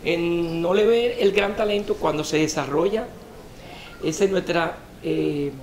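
A man's voice: a little high, unhurried, gravelly, a little mellow. Middle aged dominican guy hosting a variety show, chatty, roomy echo. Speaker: TV show host. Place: indoor restaurant lounge.

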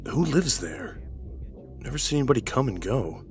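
A man's voice: medium pitch, big pitch swings, normal speed, faint music behind, natural voice. A man playing an overprotective, dismissive young husband in a horror audio drama, insistent and curt. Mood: suspicious.

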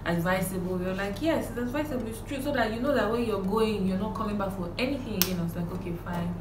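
A woman's voice: medium pitch, fast talker, background noise. A young woman with a Nigerian accent, telling stories in casual chat as a guest. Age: young adult. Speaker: guest, makeup artist. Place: small room indoors, seated beside host. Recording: echoey room, distant mic, music underneath.

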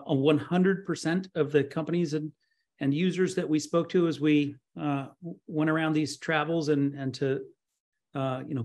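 A middle-aged man giving an online slide presentation in halting, pause-filled phrases. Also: medium pitch, darkish tone. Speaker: conference presenter, nonprofit co-founder. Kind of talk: slide presentation at a virtual expo. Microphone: webcam on a video call.

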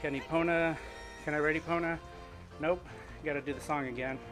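Upbeat middle-aged male streamer, casual play-along chat, slightly high voice, soft music beneath. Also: fairly even intonation, a little fast. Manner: chatty and upbeat, thinking out loud. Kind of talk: casual gaming commentary while cycling. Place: home room with indoor bike.

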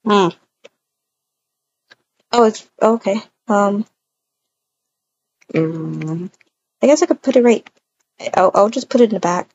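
Slow, hesitant woman, muffled mellow voice. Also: mid-range voice, expressive. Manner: hesitant, halting, with many hums. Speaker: roleplay video creator. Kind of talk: improvised roleplay dialogue in a video game. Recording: dull muffled audio, faint noise.

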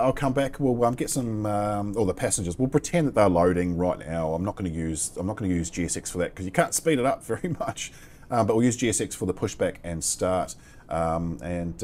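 A man's voice: sits low, a little mellow, a little hiss or hum. A man in his thirties with a New Zealand accent giving casual, rambling flight sim commentary full of ums and the odd swear, speaking into a headset at home.

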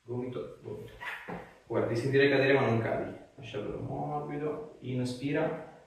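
A man's voice: mid-range voice, steady tone, moderate pace. A man, an osteopath casually giving a patient reassuring, encouraging instructions in a treatment room. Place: small treatment room, indoors. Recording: echoey room, distant mic, faint noise.

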